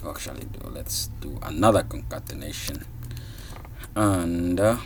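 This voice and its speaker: Man, thirties, from somalia, unhurried, relaxed beginner coding lesson, lots of uh and restarts. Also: very deep voice, background noise. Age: 30s. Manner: casual, thinking aloud, many fillers and restarts. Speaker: programming tutor. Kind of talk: screen-recorded coding tutorial.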